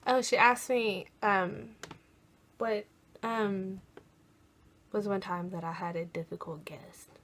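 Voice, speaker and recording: A young American woman chatting casually in a vlog, often pausing as she tries to recall things. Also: medium pitch, steady tone, fairly bright tone, a little hiss or hum. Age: young adult. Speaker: college program applicant vlogger.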